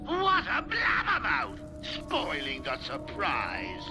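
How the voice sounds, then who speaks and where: A man's voice: fairly high pitch, huge pitch swings, slow pace, roomy sound, recorded from a distance, music in the background, exaggerated voice. An actor playing a taunting, theatrical male clown villain in a video game. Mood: gleeful. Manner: mocking, theatrical, gleeful.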